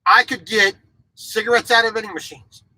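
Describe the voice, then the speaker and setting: High bright-voiced trucker, blunt and repetitive. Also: male voice, moderate pace, no echo, low-quality recording. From grew up in Japan as a military child. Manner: blunt, repeats words and restarts, opinionated. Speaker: truck driver vlogger. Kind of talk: casual monologue to camera. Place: truck sleeper cab, small indoor space.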